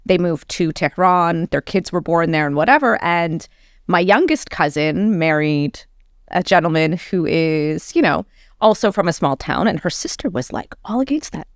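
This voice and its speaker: A middle-aged woman with a slightly low voice tells lively stories about family and city life. She laughs often and talks casually. The room sounds close and dry. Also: normal speed, dry recording. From Canada. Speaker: podcast guest. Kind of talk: casual conversational storytelling.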